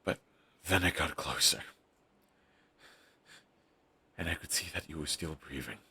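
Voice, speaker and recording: A bitter young villain, fiercely devoted and raw-edged, swearing as he talks, voiced by a man. His voice sits extremely low, with a husky grain and a forceful, tense edge. It is an intimate audio-roleplay monologue. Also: expressive, fairly quick, heavily acted. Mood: grieving. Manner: intense, raw, swears often.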